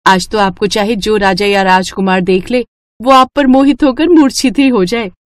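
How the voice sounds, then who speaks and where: A woman voicing a timid maidservant in a cartoon story, deferential and hesitant as she flatters her mistress. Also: mid-range voice, normal speed, dry recording, close to an everyday voice. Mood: flattering.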